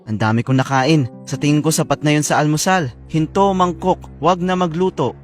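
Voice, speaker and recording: A woman's voice: deep voice, moderate pace, faint music behind, close to an everyday voice. A woman voicing a cheeky, hungry little brother in a children's fairy tale, teasing and whining. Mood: content. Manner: teasing, whiny. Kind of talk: children's storybook acting.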